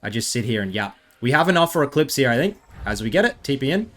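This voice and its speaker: A man's voice: mid-range voice, sing-song, quick pace, a bit bright. An Australian man giving rambling, self-mocking commentary over gameplay, full of chatty asides. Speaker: gaming video creator.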